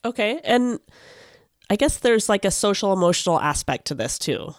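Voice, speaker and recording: A woman's voice: medium pitch, sing-song, moderate pace, no echo. Woman radio host with dry, close sound. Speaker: podcast host, radio reporter. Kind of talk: radio narration and conversational interviewing.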